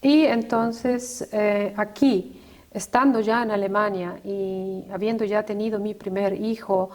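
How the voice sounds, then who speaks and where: Chilean woman, fifties, interview guest; reflective, halting delivery with frequent restarts. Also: medium pitch, fairly even intonation. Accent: Chilean Spanish. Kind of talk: personal testimony in conversation.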